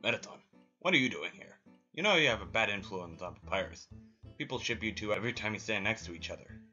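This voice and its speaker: Cartoon skeleton joker, male actor: deep, easygoing older-brother voice, music underneath. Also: lively intonation, fast, sharp tone, dull audio. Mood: deadpan. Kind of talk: fan comic dub acting.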